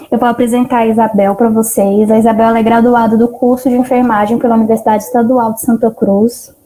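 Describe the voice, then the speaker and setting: A woman's voice: fairly high pitch, dark tone, poor audio. A woman with a Brazilian accent, formally introducing a speaker as moderator of an online meeting over a video call.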